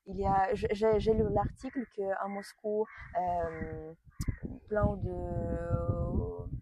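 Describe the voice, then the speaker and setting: Hesitant young woman, slow questions. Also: on the low side, fairly even intonation, mellow, slight background noise, poor audio. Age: young adult. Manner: hesitant, many fillers, laughs often. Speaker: student interviewer.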